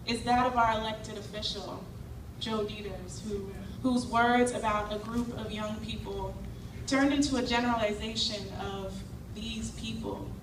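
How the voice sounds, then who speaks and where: A woman from Cincinnati giving an earnest, measured prepared speech as a community organizer. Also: a little high, fairly even intonation. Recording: echoey hall, far mic, noisy.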